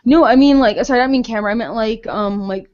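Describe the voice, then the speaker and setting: Female podcast co-host, somewhat high pitch, frank and informal opinions, conversational, slightly dull recording. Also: fast talker, darkish tone. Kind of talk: casual podcast chat.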